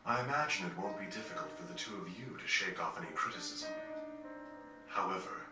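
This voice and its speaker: A man acting a refined, serious gentleman in a video game, formal, polite and pointed. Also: low voice, fairly quick, lightly acted. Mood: coolly challenging. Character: refined, serious gentleman, coolly probing and courteous. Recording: echoey, distant, over faint game music.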